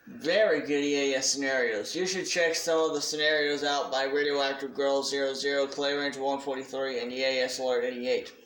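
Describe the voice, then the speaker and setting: A man giving a casual, unscripted shout-out announcement as a hobby video creator, talking in a halting, deliberate way. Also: a little high, echoey room, poor audio.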